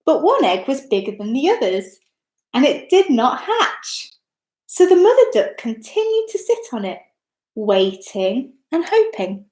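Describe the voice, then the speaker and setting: A woman narrates a children's story expressively and deliberately. Her voice is fairly high, with very lively intonation, and the room around it is audibly echoey. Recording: echoey, roomy sound.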